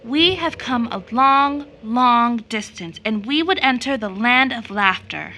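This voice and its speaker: A woman's voice: a little high, animated, measured pace, bright, forceful tone, full character voice. A woman playing a solemn, earnest little boy. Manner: grave, earnest. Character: solemn, polite little boys seeking laughter.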